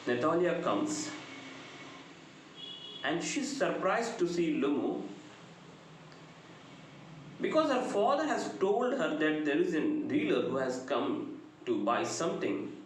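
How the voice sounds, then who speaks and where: A middle-aged man with an Indian accent giving a literature lecture, deliberate and emphatic, phrase by phrase. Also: on the high side, sing-song. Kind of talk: lecture summarizing a play. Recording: very echoey room, faint background noise.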